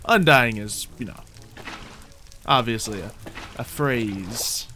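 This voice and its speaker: A man's voice: mid-range voice, animated, takes it slowly, bright, forceful tone, soft background music. A man commentating on his gameplay in character, theatrical and mock-boastful, muttering to himself and repeating his phrases. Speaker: gaming video host.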